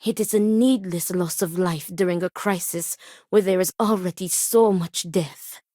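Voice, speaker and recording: An actress voicing a grave, principled and devout woman in a video game, speaking in formal, measured, stern phrases. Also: on the low side, mellow, dry recording, studio quality, natural voice. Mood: grave, earnest. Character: grave, principled woman acting as duel second, devout, weary. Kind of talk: video game character dialogue.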